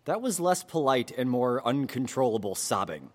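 A man's voice: medium pitch, moderate pace, long reverb tail. An actor playing a sarcastic young apprentice, dry and exasperated with his master. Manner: deadpan, sarcastic. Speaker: apprentice character. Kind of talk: fantasy audio drama acting.

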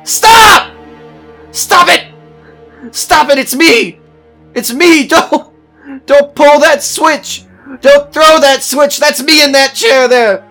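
An actor playing a tormented, unhinged man recounting his own doom with halting intensity in a radio suspense drama. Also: sits low, steady tone, fairly bright tone, soft background music. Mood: frantic, screaming. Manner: intense, halting, theatrical, repeating phrases.

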